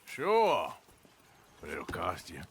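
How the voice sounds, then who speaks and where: Casting: reluctant gruff outlaw, lively mid-pitched forceful delivery, game drama. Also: male voice, takes it slowly, slight background noise, exaggerated voice. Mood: dry, indifferent. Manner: laconic, gruff, dry. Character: gruff, reluctant American outlaw cowboy, drily sardonic. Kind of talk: video game cutscene acting.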